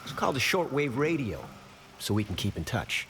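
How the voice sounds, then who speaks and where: An actor playing a roguish older American adventurer in a video game, with a folksy, teasing manner and wry charm. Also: male voice, mid-range voice, very animated, a little fast, music in the background. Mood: persuasive, wry. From United States. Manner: folksy, teasing, drawling wisecracks. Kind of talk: video game cutscene acting.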